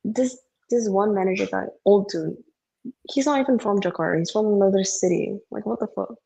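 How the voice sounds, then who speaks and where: A woman's voice: medium pitch, fairly quick, gravelly, mellow, breathy edge, low-quality recording. A young woman who grew up speaking Indonesian, venting in English in a casual podcast chat, rambling and swearing with lots of filler words. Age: young adult. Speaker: podcast co-host.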